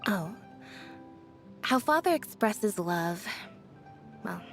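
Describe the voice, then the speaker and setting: A woman voicing a quiet, deadpan young magician's assistant in a video game, speaking in a reserved, measured, softly detached manner. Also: mid-range voice, faint music behind. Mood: quietly thoughtful. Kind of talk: scripted video game character voice lines.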